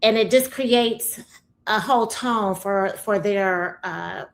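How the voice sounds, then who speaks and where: A middle-aged woman, a guest, talking casually in a slow, hesitant way with restarts. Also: medium pitch. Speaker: guest, the host's mother. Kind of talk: casual conversation.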